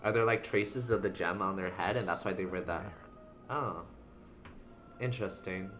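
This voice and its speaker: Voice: low voice, normal speed, a bit bright, soft background music. A streamer giving casual live game commentary, rambling in playful asides to the characters.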